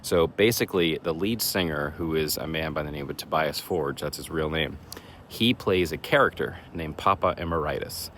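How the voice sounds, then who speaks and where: Deep-voiced casual male vlogger outdoors. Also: moderate pace, fairly bright tone. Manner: chatty, self-deprecating, rambling with fillers. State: slightly raspy voice. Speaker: horror contest vlogger. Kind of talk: casual vlog to camera. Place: outdoors in woods. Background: faint leaf blower and vehicle noise.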